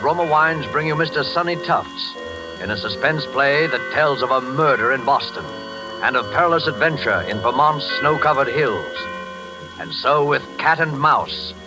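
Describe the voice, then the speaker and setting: A man's voice: fairly high pitch, rough recording. Ominous male radio announcer over music. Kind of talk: 1940s radio scripted introduction.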